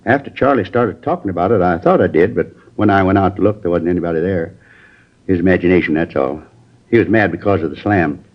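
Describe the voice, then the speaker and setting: An actor playing a plain-spoken neighbor who gives a witness account in a folksy, rambling way, in a 1950s radio drama. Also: male voice, low-pitched, fast. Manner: folksy, rambling, ungrammatical speech. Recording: dull lo-fi old radio recording.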